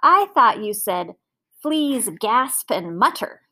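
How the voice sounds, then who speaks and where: A woman's voice: on the high side, big pitch swings, slow pace, bright, forceful tone, full character voice. A woman voicing a mischievous young child in a storybook, with a mock-innocent, sing-song delivery.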